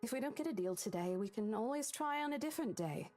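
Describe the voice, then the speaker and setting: An actress playing a caring young real estate agent who talks in a reassuring, coaxing way in a horror visual novel. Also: female voice, fairly high pitch, expressive, quick pace, darkish tone, soft background music, natural voice.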